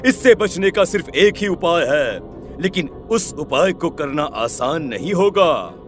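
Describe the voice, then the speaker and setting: A man's voice: a little high, animated, unhurried, soft background music. A man playing a grave village tantric in a horror cartoon, speaking with solemn, ominous warning. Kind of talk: Hindi horror cartoon acting.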